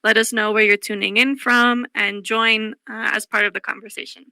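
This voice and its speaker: Female co-host. Very bright, sharp, high voice, conversational, dry recording. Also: level tone, normal speed, vocal fry, clean tone, no echo. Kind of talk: conversational radio hosting.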